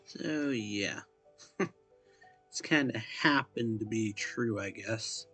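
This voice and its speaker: A man giving casual, low-key game commentary in a gravelly, husky voice, speaking in halting bits. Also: bright, forceful tone, soft background music. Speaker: reaction video host.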